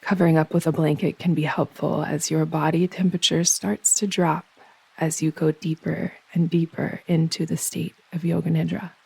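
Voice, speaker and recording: A woman guiding a yoga nidra meditation in slow, soothing instruction, with measured, unhurried phrasing and pauses. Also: sits low, flat delivery, creaky, a little mellow, breathy edge, no room sound, low-quality recording.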